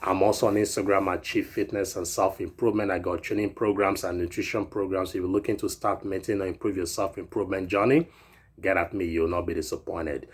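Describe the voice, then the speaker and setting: Thirties male fitness vlogger from Europe, low-leaning voice, casual pep talk to camera. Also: breathy edge. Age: 30s. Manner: chatty, repeats "right?" often. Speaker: fitness YouTuber. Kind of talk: casual motivational vlog talk.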